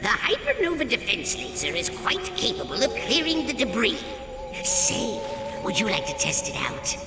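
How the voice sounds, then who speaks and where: A woman's voice: fairly high pitch, wildly swooping pitch, moderate pace, roomy sound, long reverb tail, over music. A woman voicing a fawning, eager-to-please villain's underling in a video game. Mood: deferential. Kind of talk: video game cutscene acting.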